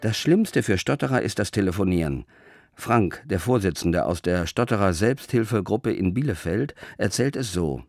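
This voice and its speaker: A man preaching in a scripted, measured and reflective way, with rhetorical questions and deliberate pauses. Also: lowish voice, lively intonation, no echo, soft background music. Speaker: preacher hosting a religious radio talk. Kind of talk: scripted sermon narration.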